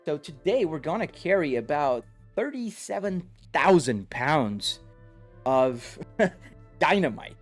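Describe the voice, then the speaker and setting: A chatty man with a Brazilian accent and a slightly high voice, talking to his stream viewers while he drives. Music plays clearly underneath him. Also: sing-song, slow, long pauses. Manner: chatty, reads chat aloud and answers it. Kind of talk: live-stream chat while gaming. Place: home sim rig room.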